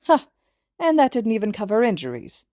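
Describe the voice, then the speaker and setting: A woman voicing a bossy elderly female healer in an audiobook, brisk and dry-humored. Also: on the high side, big pitch swings, mellow, no echo, pitched above the performer's own voice. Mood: wry, amused.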